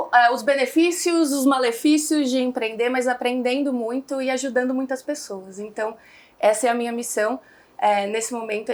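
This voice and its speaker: A young Brazilian woman talking casually as an interview guest, chatty and rambling with many fillers. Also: a little high. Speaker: guest, founder of an online English school.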